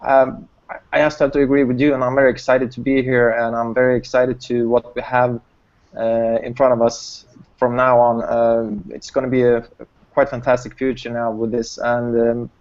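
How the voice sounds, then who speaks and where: A man from Sweden speaks in a steady, unvaried voice on a video call, with frequent fillers. The audio is dull and lo-fi. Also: mid-range voice, moderate pace. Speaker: panel guest. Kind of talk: casual video call talk.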